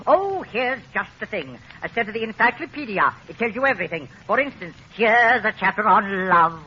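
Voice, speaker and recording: Sharp, swooping, fussy bookseller. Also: male voice, high-pitched, sing-song, moderate pace, exaggerated voice. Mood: helpful, fussy. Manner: proper, polite, fussy diction. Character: prim, fussy, helpful male bookstore keeper. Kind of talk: 1940s radio comedy acting. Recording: muffled, slightly noisy 1940s radio broadcast.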